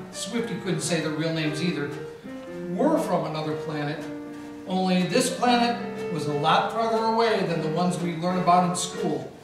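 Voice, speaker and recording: A man playing a brash two-fisted 1940s detective, full of bluster and quick wisecracks. The delivery swings up and down, heard from far off in a big echoing room. Music plays faintly behind the live radio-play performance. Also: high voice. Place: large historic mansion room with a live audience. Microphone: distant camera microphone.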